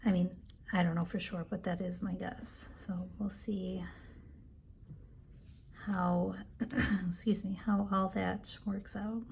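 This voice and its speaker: A woman with a raspy, allergy-hoarse voice chatting casually as she decorates her planner, relaxed and full of ums. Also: mid-range voice, slight background noise. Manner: slow, relaxed, chatty with frequent ums and asides. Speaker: planner vlogger. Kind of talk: casual plan-with-me narration.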